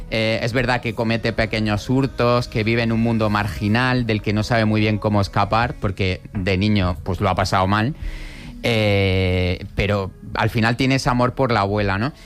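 Un hombre que comenta películas en un programa de radio, explicando con calma entre muletillas y preguntas retóricas. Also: male voice, medium pitch. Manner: explanatory, with many fillers and tag questions. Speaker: radio film commentator. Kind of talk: casual radio chat about films.

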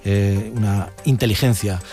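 A man hosting a radio talk show, briskly introducing topics and guests from the studio. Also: on the low side, steady tone, dark tone, faint music behind.

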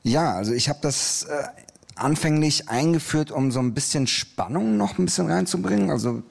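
A man's voice: fairly high pitch, expressive, moderate pace, vocal fry. A middle-aged man from Iran, an author answering interview questions in a hesitant, thoughtful way with many fillers. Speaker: graphic novel author, interview guest.

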